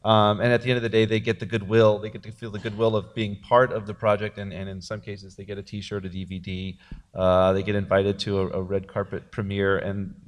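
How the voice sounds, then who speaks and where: A man's voice: fairly low pitch, flat delivery, a little fast, long reverb tail. A man, a filmmaker, talking at a panel after a film premiere in a jokey, rambling way with many fillers. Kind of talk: post-premiere panel talk.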